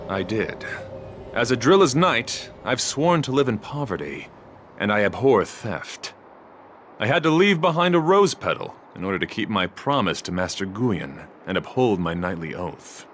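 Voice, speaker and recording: A courtly, gallant knight. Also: male voice, fairly low pitch, a bit bright, a little hiss or hum. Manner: formal, measured, courtly speech. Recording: game audio with music behind.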